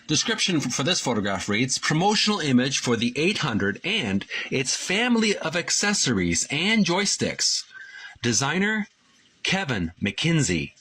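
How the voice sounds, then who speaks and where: A man hosting his own channel, reading from a book and commenting casually, halting often with fillers and restarts. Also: a little high, big pitch swings, pushed and bright. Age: adult who played tape games in school.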